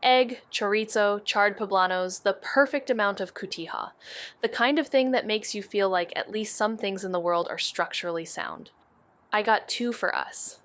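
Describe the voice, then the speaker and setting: A woman playing a wry, wary young amateur detective in a noir podcast drama, with a deadpan, sardonic way of talking. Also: mid-range voice, moderate pace, lightly acted. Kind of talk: first-person noir podcast drama narration and dialogue.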